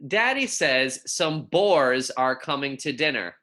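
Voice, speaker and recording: Friendly teacher doing a read aloud for little kids, really bright clear-cut voice, informal and encouraging. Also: medium pitch, expressive, takes it slowly. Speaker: elementary school teacher. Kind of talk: read-aloud lesson for young children.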